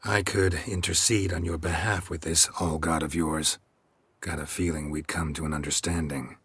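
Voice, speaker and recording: An actor playing a gruff, dry-witted monster hunter in a video game, with a husky, deadpan, laconic delivery. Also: male voice, extremely low pitch, normal speed, full character voice. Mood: sardonic. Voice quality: husky, raspy. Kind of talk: video game character acting.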